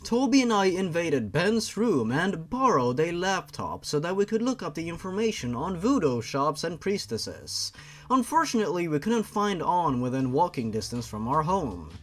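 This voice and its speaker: A man reading a fan fiction story aloud as a show host, in a theatrical, dramatic way with drawn-out emphasis. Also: fairly high pitch. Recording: music behind the voice. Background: music.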